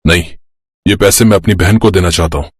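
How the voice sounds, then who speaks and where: A man's voice: deep voice, a little fast, breathy edge, dry recording, clean studio sound. A man voicing an earnest, emphatic adult brother in a moral-story cartoon. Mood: earnest, defiant.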